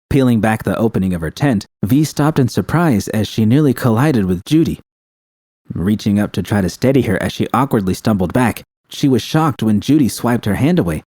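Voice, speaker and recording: A man's voice: medium pitch, mellow, no room sound, studio quality. A man reading scripted fiction as the narrator.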